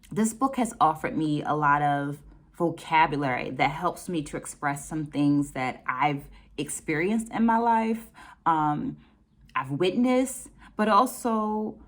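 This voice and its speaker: A middle-aged American woman reflecting on a book for her viewers in a measured, deliberate way. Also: low-pitched. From United States. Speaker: dance educator hosting a book discussion.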